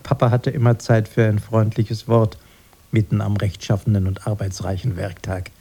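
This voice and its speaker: An actor playing a prim, nostalgic elderly brother in a radio play, earnest and quick to correct. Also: male voice, lowish voice, flat delivery, warm tone, breathy edge. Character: elderly brother, proper and nostalgic, easily piqued.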